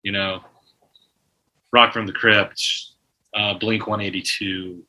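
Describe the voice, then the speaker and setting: A man's voice: lowish voice, little pitch movement, takes it slowly, pushed and bright, lo-fi sound. A man guest on a podcast, telling stories in a rambling way with many restarts and fillers. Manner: laughs while telling stories. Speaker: musician guest. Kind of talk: casual podcast conversation.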